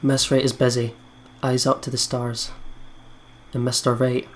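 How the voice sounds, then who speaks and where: A man's voice: medium pitch, flat delivery, unhurried, slight background noise. Man reading game narration, subdued range. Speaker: let's player. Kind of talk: reading visual novel narration aloud. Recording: game music behind the voice.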